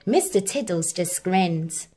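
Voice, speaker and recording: A woman reading a children's story aloud as an expressive, measured narrator. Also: fairly low pitch. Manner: animated, measured. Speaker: storybook reader.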